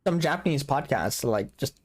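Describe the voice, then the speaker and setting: Lively young man chatting on stream. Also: mid-range voice, a little fast, slightly rough. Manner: joking and self-mocking, laughs often. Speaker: game streamer. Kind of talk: casual live-stream chat while gaming.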